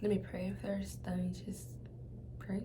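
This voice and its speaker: A young woman with a Nigerian accent shares a personal testimony in a casual, halting way, often repeating phrases for emphasis. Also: mid-range voice, little pitch movement, background noise. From Nigeria (Lagos). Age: young adult. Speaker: vlogger.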